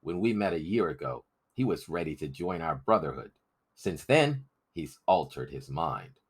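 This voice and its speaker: A man voicing a stern, suspicious rebel leader with a deliberate, accusing manner, in a storybook reading. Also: rumbling low, big pitch swings, breathy edge, full character voice. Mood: grave, suspicious.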